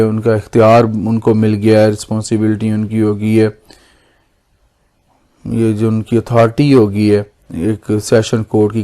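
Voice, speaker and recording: A man's voice: lowish voice, dark tone. A man with a Pakistani accent presenting an explainer as a lawyer, speaking formally and often restating his points in other words. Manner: formal, repeats and rephrases points. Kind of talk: explanatory lesson, semi-scripted.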